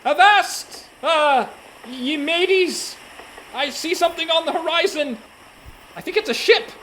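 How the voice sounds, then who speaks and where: A man's voice: very high voice, measured pace, bright, forceful tone, a little hiss or hum, full character voice, rough recording. A man playing a prissy, indignant and reluctant young pirate in a comedic radio play. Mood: nervous. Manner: fussy, exasperated.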